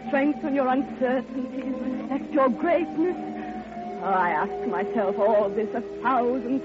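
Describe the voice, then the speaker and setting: A woman's voice: on the high side, lightly acted. An actress playing a lonely, grieving, devoted woman in a theatrical, impassioned old-time radio monologue. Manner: theatrical, hushed, impassioned, drawn-out phrasing. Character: grieving, lonely, devoted woman recalling her beloved friend. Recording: dull old radio recording, loud music.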